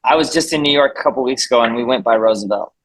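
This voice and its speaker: A man's voice: mid-range voice, very fast, no room sound, poor audio. A man whose first language is Spanish, hosting a casual video call, chatty and upbeat with frequent restarts. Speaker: community call host.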